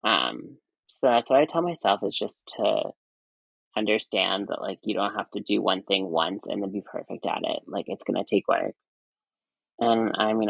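A young man in his twenties with a high voice, a nurse chatting casually as a podcast guest, rambling with many fillers and restarts. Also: vocal fry, breathy edge, no echo, dull audio. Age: 20s. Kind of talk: casual chat.

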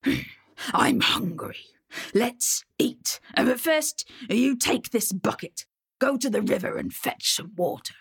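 A woman voicing a big, hairy, greedy male troll in a breathy whispered growl. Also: fairly high pitch, lively intonation, slow pace, pitched above the performer's own voice, exaggerated voice. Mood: puzzled bossy.

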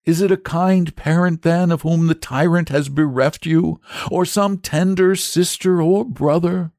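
A man's voice: fairly high pitch, fairly even intonation, a little slow, darkish tone, no echo, voice pushed up. A man reading aloud, doing a grand, kindly knight for a storybook, lifted well above his own voice. The speech is lofty and old-fashioned, and the recording is dry and close.

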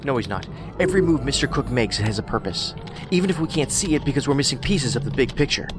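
An actor playing an eccentric, analytical federal investigator in an audio drama, wordy and dryly whimsical. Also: male voice, mid-range voice, animated, fast, noisy background, natural voice. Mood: pensive. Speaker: federal agent, profiler.

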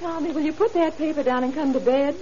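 Woman playing nagging wife in old radio show, high quick mellow voice, animated, muffled. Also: faint music behind, lightly acted. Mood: exasperated. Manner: nagging, exasperated. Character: exasperated nagging wife of a policeman. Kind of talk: 1930s-40s crime radio drama acting.

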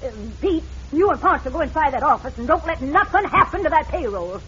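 Radio western lady boss: tough, folksy, rural Western accent, lively high voice, dull hissy vintage sound. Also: fairly quick, a faint crowd. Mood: indignant. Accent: rural American West. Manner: brusque, scolding, folksy. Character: bossy, tough frontier freight boss woman. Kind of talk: old-time radio western acting. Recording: muffled, hissy old radio recording.

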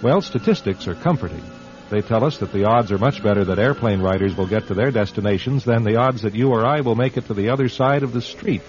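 A man hosting a classic radio drama, narrating from a script in a measured, solemn storyteller's delivery. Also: fairly low pitch, a little mellow, faint music behind. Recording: dull old radio recording.

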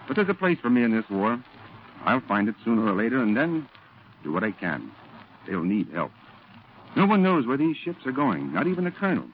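Earnest Marine chaplain, hugely varied intonation, 1940s radio drama, tinny noisy recording. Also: male voice, lowish voice. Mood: earnest, resolute. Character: calm, earnest male Marine chaplain, reflective narrator.

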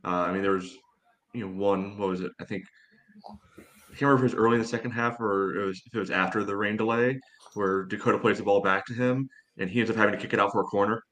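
A man's voice: on the low side, fairly even intonation, quick pace, a bit bright, rough recording. A man on a sports podcast giving casual, matter-of-fact analysis and readily pushing back on points.

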